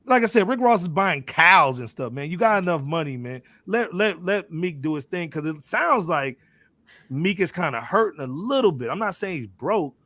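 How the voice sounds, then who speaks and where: American male podcast host, slightly high pitch, slangy and informal, dry recording. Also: sing-song, moderate pace, bright, forceful tone, dry recording. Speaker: host of a music podcast panel. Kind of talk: casual hip hop talk, slangy.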